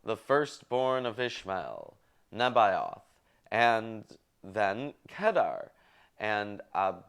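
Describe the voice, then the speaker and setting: Male reader, low clear voice, unhurried and deliberate, stepping through lists with offhand asides. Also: very animated, fairly bright tone. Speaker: show host reading scripture aloud. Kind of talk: halting read-aloud of Bible genealogy with casual asides.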